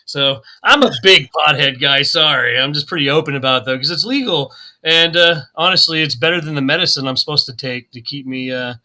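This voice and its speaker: A man from Oklahoma talks on a casual group call. His voice is very bright and sits a little high. He tells stories with energy, laughs often and acts out what people said. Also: fairly quick, hardly any pauses, poor audio. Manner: animated storyteller, laughs often, acts out quotes. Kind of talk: casual chat.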